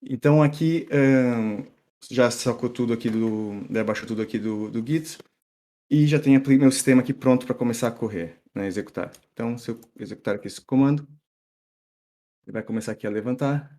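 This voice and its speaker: A middle-aged man from São Paulo with an accent mixing Brazilian and European Portuguese, giving a relaxed live-coding talk full of fillers and restarts. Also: mid-range voice, a little fast. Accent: mixed Brazilian and European Portuguese. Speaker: tech meetup speaker.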